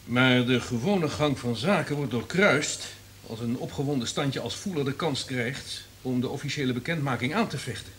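An actor playing a wary, calculating subordinate in a radio play, deferential but insistent. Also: male voice, medium pitch, normal speed, a bit bright, slight background noise, close to an everyday voice.

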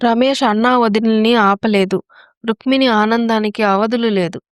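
A woman's voice: fairly high pitch, fairly even intonation, normal speed, smooth, no echo, studio quality. A woman reading scripted narration for a family story.

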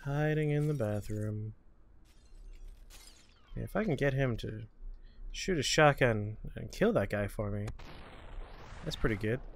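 A man's voice: mid-range voice, unhurried, pauses often. A man hosting a gaming show, giving casual, muttered, sweary reactions as he plays. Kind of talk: casual video game commentary. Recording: clear background game noise. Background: video game sounds.

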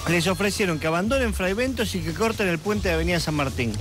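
A man's voice: high voice, lively intonation, quick pace. A man with a Buenos Aires accent playing a meek, puzzled reporter, eager to please, in a comic radio sketch. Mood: earnest. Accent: Argentine, Buenos Aires. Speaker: radio show cast member. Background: music.

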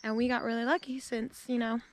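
A woman's voice: fairly high pitch, level tone, brisk. A woman in her twenties chatting casually to a handheld camera as a travel vlogger. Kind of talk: casual vlog chat to camera. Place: outdoors by roads, woods and parking lots. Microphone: handheld action camera at arm's length. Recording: outdoor noise and clicks on handheld camera.

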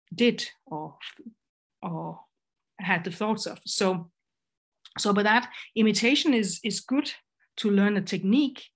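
Low-voiced Danish woman, hesitant lecture. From Denmark. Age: middle-aged. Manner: hesitant, many fillers and restarts. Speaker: graphic designer and educator. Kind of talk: online presentation lecture.

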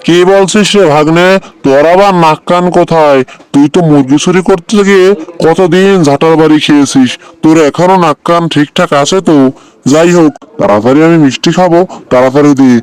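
A man's voice: on the high side, brisk, a little mellow, clear, resonant tone, close to an everyday voice, rough recording. A man voicing a greedy, bullying tiger uncle in a cartoon, wheedling and threatening in colloquial speech. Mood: mocking, threatening. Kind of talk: cartoon character acting.

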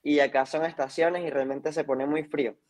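A man's voice: a little high, fairly even intonation, moderate pace, a little mellow. A man in his twenties with a Venezuelan accent and a slight Chilean lilt, chatting casually and reflectively over a video call. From Caracas, Venezuela. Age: 20s. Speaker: interview guest, singer-songwriter. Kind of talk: casual chat. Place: indoor room on a video call.

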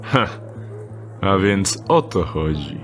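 A calculating rogue, voiced by a man, in an audio drama, speaking in a low, unhurried tone. He weighs every offer with dry skepticism. Music runs clearly beneath him. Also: very animated, exaggerated voice. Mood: wary. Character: wary young male rogue Dieter, dry and calculating.

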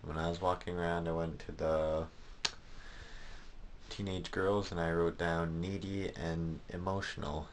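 A man's voice: deep voice, a little slow, slight background noise. A young man giving a casual, rambling video diary in a hesitant way. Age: teenager or young adult. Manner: hesitant, rambling delivery with flat tone. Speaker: vlogger. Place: small bedroom at home, indoors. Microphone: camera held at arm's length.

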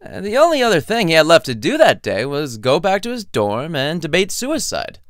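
A man's voice: on the high side, wildly swooping pitch, moderate pace, fairly bright tone, no room sound, studio quality. A man narrating a scripted story in a playful, wry, theatrical storytelling manner. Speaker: narrator and author.

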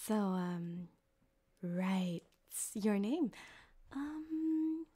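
Slow, whispery flirtatious ripperdoc played by a woman. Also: mid-range voice, animated, breathy edge, exaggerated voice. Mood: coy, menacing. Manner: soft-spoken, often dropping to a whisper, halting and coy. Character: flirtatious, sadistic yandere female cyberpunk ripperdoc, sweetly menacing. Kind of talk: ASMR roleplay addressed to the listener. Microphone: close binaural microphone.

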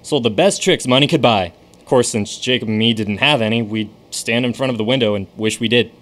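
A man's voice: medium pitch, fairly quick, fairly bright tone, close to an everyday voice. A man playing a brash, streetwise young showman who narrates his own story in a radio drama. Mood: wry. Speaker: narrator and lead.

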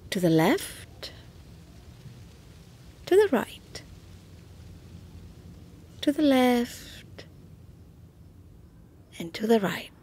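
A woman guiding a relaxation exercise, speaking softly with long pauses and now and then slipping toward a whisper. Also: medium pitch. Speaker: meditation guide. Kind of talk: guided relaxation narration.

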